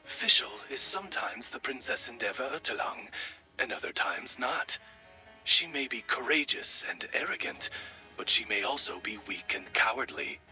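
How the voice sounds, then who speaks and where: A man's voice: medium pitch, animated, pushed and bright, rough recording. A man voicing a devoted, wise raven companion in an anime game, speaking in a formal, eloquent, courtly manner. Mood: reassuring. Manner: formal, eloquent, deliberate addresses. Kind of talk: scripted anime game voice acting. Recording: music behind the voice.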